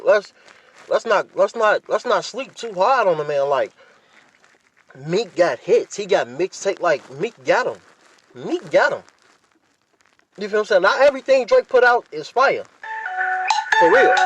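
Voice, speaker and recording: An American man talks in a slightly high-pitched voice as a podcast host on his own. He chats informally, offering opinions straight to his listeners. His wording is slangy and freely peppered with swearing. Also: lively intonation, moderate pace, long pauses, husky, highs cut off. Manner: informal slangy talk with frequent profanity. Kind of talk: casual solo chat with opinions, addressing listeners.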